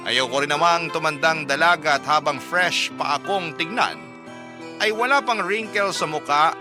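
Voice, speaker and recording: A man's voice: on the high side, natural voice. A man narrating a scripted radio love story in the first person as a hopeful single woman. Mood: earnest, confiding. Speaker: storyteller on radio drama show. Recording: music bed behind the voice.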